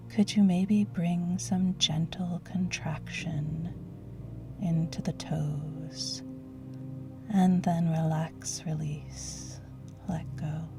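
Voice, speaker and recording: Slow, hushed woman guiding relaxation. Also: fairly low pitch. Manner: soothing, gentle, breathy hushed delivery. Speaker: guided meditation teacher. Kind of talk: guided yoga nidra relaxation.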